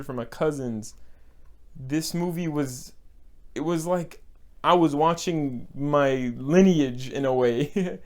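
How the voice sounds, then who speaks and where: A man's voice: fairly high pitch, darkish tone. A young man from Texas giving an earnest, emotional film review, repeating words for emphasis and laughing now and then. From Texas, United States. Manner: breaks into short laughs. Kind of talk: casual movie review.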